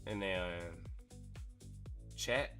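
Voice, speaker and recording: Hoarse dude hosting a stream, bright punchy voice, slangy and hyped, faint music behind. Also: male voice, on the low side, extremely slow. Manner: slangy, excitable storyteller. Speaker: streamer host. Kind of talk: casual chat on a live stream.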